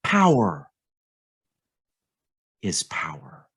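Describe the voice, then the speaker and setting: A man reading the part of a cold, imperious, scheming queen in a story, commanding and deliberate. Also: on the low side, huge pitch swings, a bit bright, voice pushed down, full character voice. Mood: menacing.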